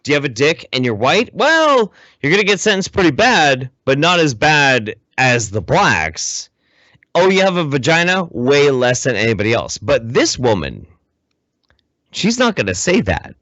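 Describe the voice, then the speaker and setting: Animated guy on a podcast, swears and repeats himself to hammer points, dry kinda muffled sound. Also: mid-range voice, normal speed, no echo. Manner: emphatic, profane, repeats phrases. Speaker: podcast co-host. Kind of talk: casual opinionated panel commentary.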